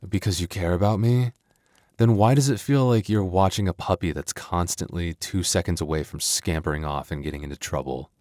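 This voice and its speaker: Male actor as young werewolf boyfriend for intimate audio roleplay: low-pitched, dry close sound, teasing yet devoted and soft-hearted. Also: no room sound, close to an everyday voice. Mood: tender, vulnerable. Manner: conversational, teasing, halting when flustered.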